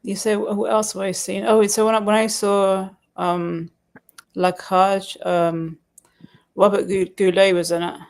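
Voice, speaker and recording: A guest chatting casually over a call, talking haltingly and repeating words while recalling things. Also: mid-range voice, little pitch movement, gravelly. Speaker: guest on a stream. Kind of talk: casual chat.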